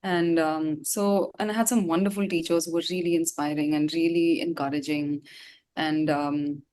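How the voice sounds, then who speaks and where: A woman's voice: low voice, fairly even intonation, moderate pace, a little mellow. A woman from Gangtok, India, a singer chatting casually as an interview guest, informal and reflective in a rambling way.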